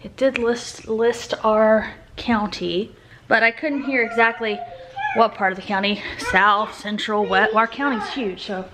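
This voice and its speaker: A woman's voice: fairly high pitch, steady tone, measured pace, sharp tone, slight background noise. A woman vlogging as a mother at home, talking casually in rambling, conversational asides. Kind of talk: casual vlog chat.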